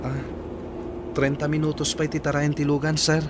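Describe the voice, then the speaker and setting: A man's voice: on the high side, monotone, moderate pace, noisy background, natural voice. A man playing a respectful young driver in an Ilocano radio drama. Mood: reassuring.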